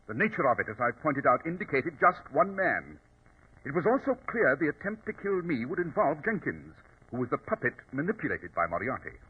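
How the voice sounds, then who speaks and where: An actor playing a self-assured, dryly superior detective, speaking precisely in 1940s radio drama style. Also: male voice, on the high side, sing-song, fairly quick, slightly rough, heavily acted. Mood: assured explaining. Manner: precise, explanatory, theatrical. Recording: tinny old radio recording.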